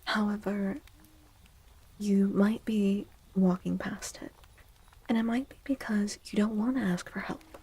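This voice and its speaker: Breathy, whispering slow-paced woman. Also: mid-range voice, steady tone, low-quality recording. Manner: slow, gentle, soothing, deliberate with long pauses. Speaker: ASMR tarot reader. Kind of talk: soft-spoken ASMR tarot reading, much of it whispered.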